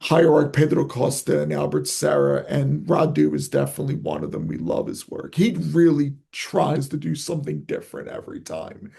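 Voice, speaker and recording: A man whose first language is Italian talks with rambling enthusiasm as a podcast guest. His voice is heavily gravelly and husky, and it sits a little high for a man. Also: moderate pace, few pauses, dark tone. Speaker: guest filmmaker. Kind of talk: casual interview talk.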